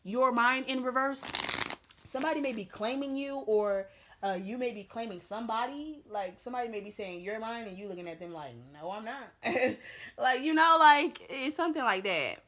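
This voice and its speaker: A woman with a slightly raspy voice giving a casual tarot reading, chatty and repetitive, with lots of fillers. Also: on the high side, lively intonation, moderate pace, a bit bright, a little hiss or hum. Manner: chatty, repeats herself with many fillers. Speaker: tarot reader.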